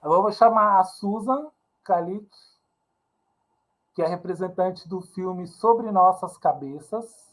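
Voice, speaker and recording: A man's voice: high voice, unhurried, long pauses, poor audio. A man moderating a film festival debate, welcoming guests with friendly questions. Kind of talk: online panel moderation.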